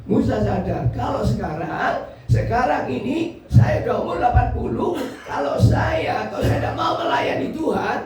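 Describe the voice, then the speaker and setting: A man's voice: extremely high pitch, sing-song, normal speed, darkish tone, soft background music, a faint crowd. A man in his seventies with a Javanese Indonesian accent preaching an interactive sermon, insistent and repetitive, putting questions to his listeners. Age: 70s. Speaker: pastor preaching. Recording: very echoey hall, far from mic.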